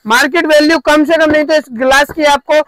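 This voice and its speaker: A man hosting a market vlog, chatting excitedly and eagerly echoing prices as he tours a shop. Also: very high-pitched, a little fast. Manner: eager, echoes the seller's prices back. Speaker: market vlogger, host. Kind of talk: excited promotional shop-tour chat. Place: crowded wholesale crockery shop indoors.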